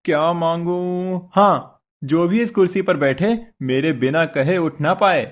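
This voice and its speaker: A man's voice: a little high, unhurried, natural voice. A man voicing a poor, simple woodcutter husband in a cartoon story, earnest, meek and fretful. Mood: puzzled, eager. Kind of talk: Hindi cartoon story voice acting.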